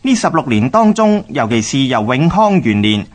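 A man with a Hong Kong Cantonese accent narrating a scripted radio history drama in a measured, explanatory manner. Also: mid-range voice, very animated.

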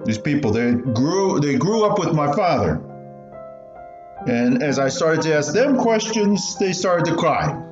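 A man playing an animated, pained old survivor. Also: fairly high pitch, unhurried. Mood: pained, recounting. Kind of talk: student radio play acting. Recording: dull, roomy recording with music.

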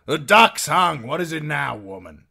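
A harried, rustic innkeeper husband, played by a male reader in a storybook. He grumbles defensively in a raised, forceful voice that jumps about in pitch. His speech is folksy and aggrieved. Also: sing-song, measured pace, creaky, husky, heavily acted.

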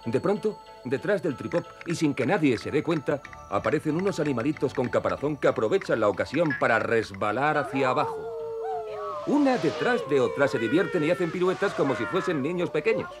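A man narrating a children's cartoon like a storybook, with expressive, clear phrasing. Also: a little high, fairly quick, loud music behind the voice.

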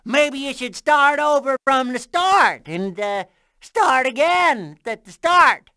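A woman's voice: high-pitched, lively intonation, takes it slowly, bright, forceful tone, dry recording, clean studio sound, exaggerated voice. A woman playing a folksy, playful honey-country game host with a drawling Southern US accent, cheerfully teasing. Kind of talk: scripted video game character dialogue.

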